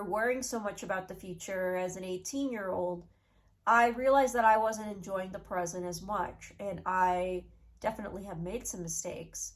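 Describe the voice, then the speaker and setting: A young woman with a sick, nasal voice, talking earnestly to her channel and giving reflective advice in a casual vlog. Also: medium pitch. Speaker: YouTuber.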